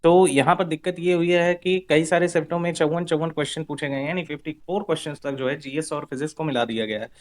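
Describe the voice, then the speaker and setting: A man's voice: on the high side, steady tone, fast talker, hardly any pauses. Man presenting an exam news update. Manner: repetitive, Hindi mixed with English terms. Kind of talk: informal explanatory update to exam candidates.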